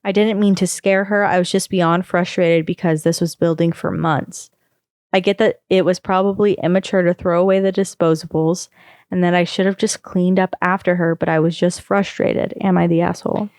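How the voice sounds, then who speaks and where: Smooth low-voiced woman reading aloud. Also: little pitch movement, moderate pace, a little mellow, dry recording, studio quality. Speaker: podcast co-host reading a story. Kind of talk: reading a first-person online post aloud.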